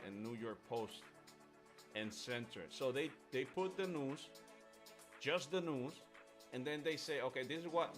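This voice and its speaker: A man's voice: medium pitch, a bit bright. Emphatic man hosting a stream over music. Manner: emphatic, repeats himself. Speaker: host of live trading stream. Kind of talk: casual opinionated live chat. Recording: music under the voice.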